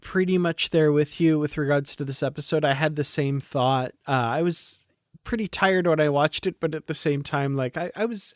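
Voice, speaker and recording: A man's voice: a little high, no room sound, clean studio sound. A man co-hosting a podcast, chatting casually as he gives a review. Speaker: podcast co-host.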